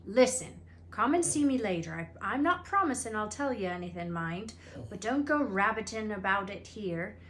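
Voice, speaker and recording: A woman voicing a gruff, shifty giant gamekeeper man from a storybook, with rustic, scolding speech. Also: medium pitch, expressive, normal speed, fairly bright tone, slight background noise, lightly acted. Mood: hushed scolding.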